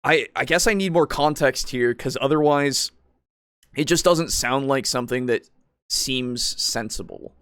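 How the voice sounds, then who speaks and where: A man's voice: mid-range voice, unhurried, a bit bright, clear, resonant tone, dry recording, clean studio sound. A man co-hosting a casual tabletop roleplaying discussion, explaining things in a rambling way with some swearing. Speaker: podcast co-host.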